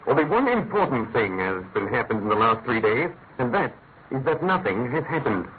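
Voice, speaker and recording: A male actor plays a commanding British detective in a classic radio mystery. He is analytical and emphatic, with a mid-pitched male voice and crisp rhetorical phrasing. The recording is thin and tinny with faint noise. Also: big pitch swings, moderate pace, close to an everyday voice. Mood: intense, preoccupied.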